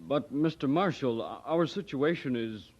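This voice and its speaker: An actor playing a polite, worried church representative in a radio drama, measured and deferential. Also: male voice, medium pitch, animated, darkish tone, close to an everyday voice. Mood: worried, pleading. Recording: dull old radio drama recording.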